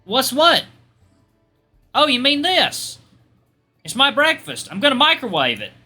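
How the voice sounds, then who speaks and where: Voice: high voice, huge pitch swings, unhurried, sharp tone, soft background music, voice pushed up, heavily acted. A performer voicing a proud, polite young male Australian resident assistant in a jaunty manner. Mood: slightly flustered.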